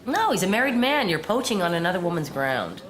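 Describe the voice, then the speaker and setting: A woman's voice: on the low side, very animated, a little fast. An actress playing a brash, irreverent woman writer with a blunt, teasing, sardonic way of talking in an audio drama. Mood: teasing, pushy. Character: brash, irreverent, bossy young female magazine writer. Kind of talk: naturalistic audio drama dialogue.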